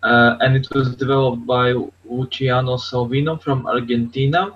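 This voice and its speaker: A man's voice: mid-range voice, little pitch movement, normal speed, fairly bright tone. A man co-hosting a casual tech podcast over an online call, talking in loose, repetitive phrases. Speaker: podcast co-host. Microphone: online video hangout. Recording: dull lo-fi online call, faint noise.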